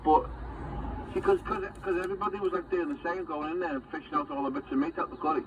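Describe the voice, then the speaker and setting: A man's voice: a little high, fast, far from the mic. A man in casual chatter, heard through a CB radio transmission. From Teesside, north-east England. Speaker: CB radio operator. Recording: muffled, very noisy CB radio reception.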